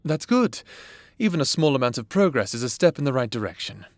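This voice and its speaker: A man playing a patient government therapist in an audio roleplay, with measured, clinical and formal diction, close on the mic. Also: mid-range voice, very animated, no room sound. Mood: calm, clinical. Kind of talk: cinematic audio roleplay acting, addressing the listener. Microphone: close, dry studio mic.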